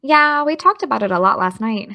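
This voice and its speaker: A woman's voice: fairly high pitch, expressive, a little fast, vocal fry, sharp tone, heavily acted. An actress playing an earnest, studious teenage girl with a chatty, eager, slightly awkward manner in an audio drama. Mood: cheerful. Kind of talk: naturalistic audio drama acting.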